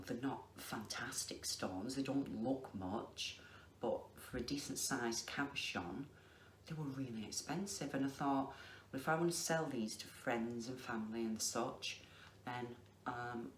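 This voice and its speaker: A middle-aged woman with a Lancashire accent, chatting casually to camera in a slow, deliberate way with many ums. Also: rumbling low. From England. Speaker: craft vlogger. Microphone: facing the camera. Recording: echoey room with faint noise.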